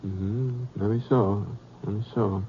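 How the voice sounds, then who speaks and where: An actor playing a plain-spoken cowboy in an old radio western, with an American accent and a folksy Western drawl. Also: male voice, fairly low pitch, fairly even intonation, extremely slow, slight background noise. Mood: mild, reasonable. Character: easygoing, plain-spoken cowboy who narrates his own tale. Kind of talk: old-time radio western acting and narration. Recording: muffled vintage radio recording.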